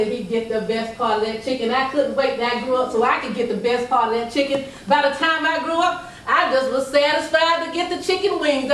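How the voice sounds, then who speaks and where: An older woman telling family stories in a folksy style to a live audience, chuckling through drawn-out asides. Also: on the high side, a bit bright. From North Carolina. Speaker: storyteller. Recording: echoey room heard from a distance.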